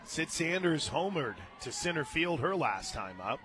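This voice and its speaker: A man with an American accent doing live play-by-play radio commentary of a softball game, moving between chatty asides and excited calls. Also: a little high, a little slow. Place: open radio booth at a ballpark. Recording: outdoor stadium noise behind the voice. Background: ballpark ambience.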